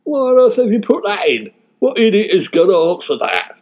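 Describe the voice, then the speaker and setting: A man's voice: high voice, wildly swooping pitch, moderate pace, darkish tone, exaggerated voice. A man playing a wily, blunt, teasing theatrical agent in radio sketch comedy. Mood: sceptical. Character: wily, blunt male theatrical agent, cheerfully needling and money-minded. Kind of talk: sketch comedy in the manner of 1950s British radio.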